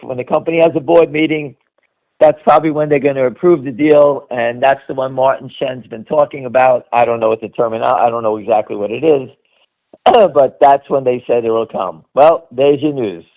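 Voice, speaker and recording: A man's voice: mid-range voice, vocal fry. Blunt man on dull, lo-fi call audio. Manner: blunt, insistent, punctuated by "all right?". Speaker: stock commentator hosting a conference call. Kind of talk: informal off-the-cuff market commentary. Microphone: conference call line.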